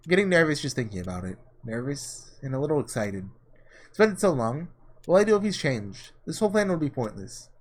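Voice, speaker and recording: A man's voice: medium pitch, very animated, fairly quick. A man voicing a nervous, determined young woman's earnest inner monologue from a visual novel. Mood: nervous, resolved.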